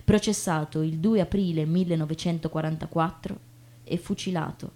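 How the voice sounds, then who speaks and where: A woman giving a measured, solemn theatrical reading as a stage narrator. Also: low voice, steady tone, warm tone. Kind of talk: scripted theatrical reading of history and reflection.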